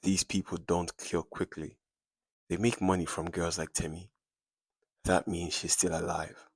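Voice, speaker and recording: Man playing a grim, deep-voiced underworld fixer. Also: little pitch movement, gravelly, breathy edge, no echo, exaggerated voice. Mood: grim reassurance. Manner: dark, measured, decisive. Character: grim, hard-nosed Nigerian man with underworld connections.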